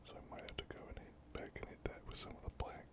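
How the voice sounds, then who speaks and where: A hobby host speaking in a whisper, unhurried and thinking aloud in an ASMR painting commentary.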